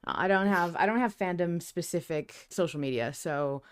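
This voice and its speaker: A woman's voice: fairly low pitch, normal speed, clear, resonant tone. A woman co-hosting a fan podcast in casual chat, emphatic and playfully repetitive. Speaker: podcast co-host.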